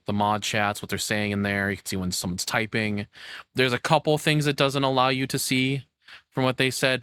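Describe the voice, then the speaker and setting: A man's voice: lowish voice, fairly bright tone, clean tone. A man giving casual, off-the-cuff reaction commentary in a rambling, conversational, sardonic way. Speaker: reaction video host.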